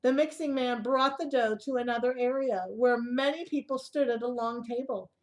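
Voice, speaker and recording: A woman with a fairly high voice reads a storybook aloud for small children. Her delivery is expressive and child-directed, moving between the narration and simple asides to her young listeners. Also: moderate pace, poor audio. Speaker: early childhood storyteller reading a picture book aloud.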